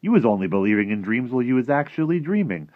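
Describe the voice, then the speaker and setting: A man voicing a huge, gentle, gloomy giant who talks in muddled grammar and invented words for a children's storybook. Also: mid-range voice, sing-song, fast, no room sound, phone-quality sound, voice pushed up, close to an everyday voice. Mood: scornful, doubting. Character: huge, gentle, gloomy friendly giant with garbled rustic speech. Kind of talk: animated children's storybook character reading.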